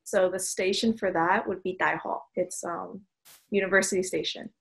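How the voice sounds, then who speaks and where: Easygoing woman guest with a lowish voice. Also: fairly even intonation, moderate pace, creaky. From Miami, United States. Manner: easygoing, hesitant fillers. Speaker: podcast guest. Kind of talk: casual podcast chat.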